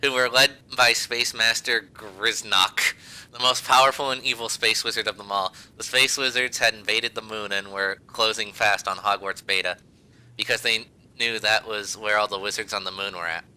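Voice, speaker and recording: Bright, forceful man reading aloud, amused. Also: fairly low pitch, steady tone, normal speed, smooth, rough recording. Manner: breaks into laughter while reading, hammy emphasis. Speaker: podcast host reading fan fiction aloud. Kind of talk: amused read-aloud of amateur fan fiction.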